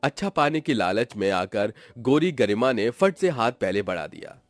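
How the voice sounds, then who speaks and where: A man's voice: mid-range voice, very animated, normal speed, no echo. A man narrating a scripted moral story in a dramatic storytelling style.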